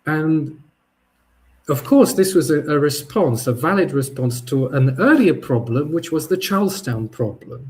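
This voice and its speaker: A man who is a native Polish speaker, giving a thoughtful spoken essay, often pausing and restarting his sentences. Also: medium pitch. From Poland. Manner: thoughtful, halting, with frequent restarts and fillers. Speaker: podcast host.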